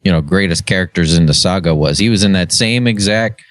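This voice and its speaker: A man's voice: low voice, fairly quick, dry recording, studio quality. A man co-hosting a podcast in casual, playful, reactive banter. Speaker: podcast co-host.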